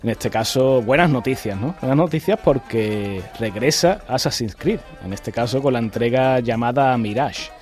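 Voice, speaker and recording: Man, mid pitch, radio host, measured delivery, faint background music. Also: lively intonation, darkish tone. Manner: measured, with fillers like "eh" and "bueno". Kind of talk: radio announcement and news reading.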